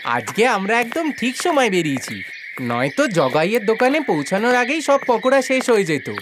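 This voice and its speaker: An actor voicing a chatty, excitable male friend in a cartoon story, talking in a matey way. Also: mid-range voice, fairly quick, background noise, close to an everyday voice. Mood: eager, chatty. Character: chatty, excitable man out for fried snacks.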